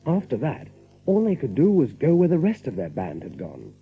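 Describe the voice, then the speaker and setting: An actor as a grave storyteller, giving scripted dramatic narration of an adventure tale. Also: male voice, mid-range voice, wildly swooping pitch, moderate pace. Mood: suspenseful. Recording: thin phone-like old tape, hiss.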